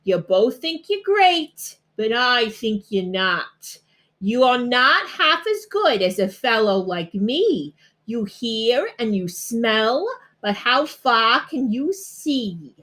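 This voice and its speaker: A woman voicing a little old male worm in a storybook, a know-it-all chiding slowly and deliberately. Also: fairly high pitch, very animated, a bit bright, heavily acted. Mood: scolding, smug.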